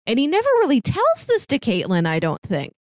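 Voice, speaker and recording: A woman's voice: on the high side, wildly swooping pitch, a little fast, darkish tone, no room sound, studio quality. A woman co-hosting a podcast, casually discussing a story and acting out bits of dialogue as she retells it. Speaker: podcast co-host. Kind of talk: casual discussion of an audio drama.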